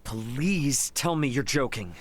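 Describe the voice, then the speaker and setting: An actor playing a brash, easygoing young adventurer in a video game, with a wry, expressive delivery. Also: male voice, medium pitch, takes it slowly. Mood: exasperated.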